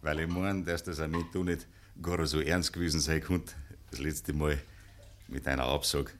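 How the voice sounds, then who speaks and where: A man's voice: very deep voice, fairly even intonation, normal speed, a little hiss or hum. A man playing an eager, good-humoured suitor in a Bavarian dialect radio drama from the 1950s, coaxing and earnest. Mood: earnest courting.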